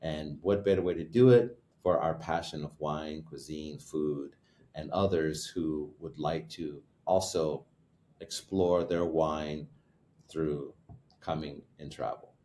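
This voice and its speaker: A man with a very deep voice recounts how his business began, in a loose, conversational way. He searches for words and corrects himself as he goes. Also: steady tone, takes it slowly, dark tone. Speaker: guest, wine retreat co-host. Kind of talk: casual chat, storytelling.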